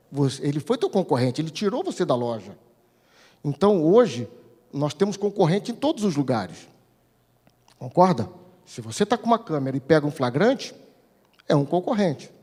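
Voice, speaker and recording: A man's voice: fairly high pitch, a little mellow. A Brazilian man giving an informal conference talk, explaining didactically and often posing questions that he answers himself. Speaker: speaker at a conference, regional TV news editor-in-chief. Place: large indoor conference hall. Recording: echoey hall with long reverb.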